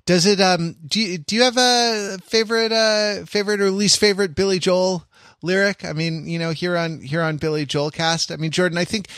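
A man's voice: sits high, steady tone, moderate pace, fairly bright tone, dry recording. Wry man, very dry close sound. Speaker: podcast host. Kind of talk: casual chat, moderating a panel.

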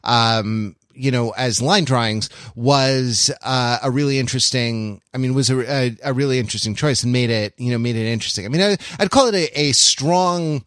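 Halting, discursive man on a dry mic. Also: mid-range voice, fairly bright tone, no room sound. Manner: halting, discursive, with many false starts. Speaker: podcast co-host. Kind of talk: casual film discussion.

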